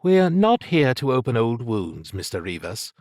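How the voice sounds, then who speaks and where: A male narrator voicing a smooth, tactful female investigator in an audiobook, with a diplomatic manner. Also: mid-range voice, big pitch swings, normal speed, darkish tone, no room sound, studio quality, voice pushed up. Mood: smooth, placating. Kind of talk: audiobook character dialogue.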